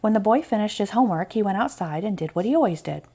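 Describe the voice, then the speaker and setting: An American woman teaching through a children's story read-aloud, pausing to explain and stress key points. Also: mid-range voice, expressive, mellow, a little hiss or hum.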